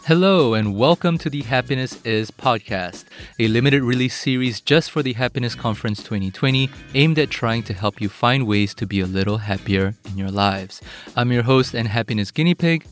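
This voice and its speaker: A man's voice: medium pitch, normal speed, faint music behind. A young man from Singapore with a Singaporean English accent, hosting a podcast in an eager, upbeat way. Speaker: podcast host. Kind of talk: podcast interview.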